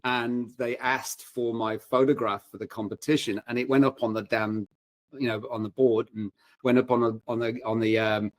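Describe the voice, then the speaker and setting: Male interview guest, average pitch, casual wry raconteur who wanders and self-interrupts; very dry sound. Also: level tone, normal speed, no echo. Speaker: podcast guest, paramedic and fiction writer. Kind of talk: casual anecdotal interview talk.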